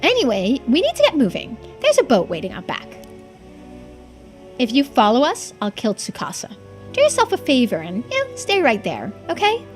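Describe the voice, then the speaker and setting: A woman's voice: high-pitched, huge pitch swings, normal speed, faint music behind, pitched below the performer's own voice. A woman voicing a bitter, menacing young villainess, gloating and unhinged, in a visual novel read-through. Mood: bitter, threatening.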